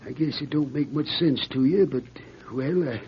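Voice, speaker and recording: An actor playing a folksy, grateful old prospector with rustic, hesitant speech in a radio drama. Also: male voice, medium pitch, normal speed, breathy edge. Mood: grateful, sheepish. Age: older. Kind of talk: 1940s radio acting. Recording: noisy, muffled old radio recording.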